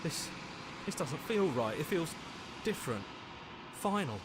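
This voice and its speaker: Anxious inner voice, sarcastic, dramatic, very animated pitch, video game, noisy backdrop. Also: male voice, a little slow. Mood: uneasy.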